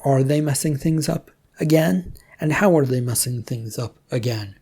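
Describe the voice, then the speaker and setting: A woman's voice: very deep voice, normal speed, mellow, slightly rough, heavily acted. A woman voicing a blunt, weary male adventurer in an audio storybook, plain-spoken and sardonic. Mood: skeptical.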